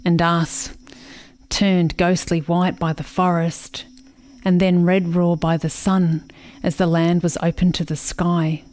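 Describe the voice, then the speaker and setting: Haunted settler woman, radio play monologue, slightly low pitch, unhurried, brooding, dreamy. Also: darkish tone. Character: grieving, haunted settler woman remembering the forest.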